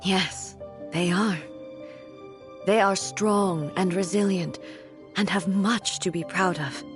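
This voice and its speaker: An actress playing a regal, solemn divine ruler in a video game, speaking in a measured, formal way. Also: female voice, medium pitch, fairly even intonation, over music, lightly acted.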